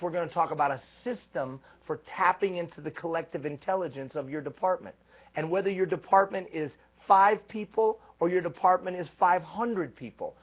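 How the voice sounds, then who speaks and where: A man with a high, husky voice coaches a live group with energy and emphatic repetition. The sound is thin and phone-like, as from an old live stream. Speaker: leadership coach. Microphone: live stream microphone.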